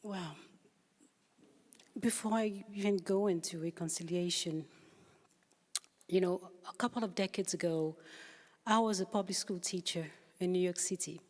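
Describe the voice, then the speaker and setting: A woman's voice: fairly low pitch, a little slow, pauses often, darkish tone. A woman from Haiti giving an unscripted personal account on a panel, searching for words as she goes, in a reverberant hall. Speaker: panel speaker. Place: large hall at a public forum.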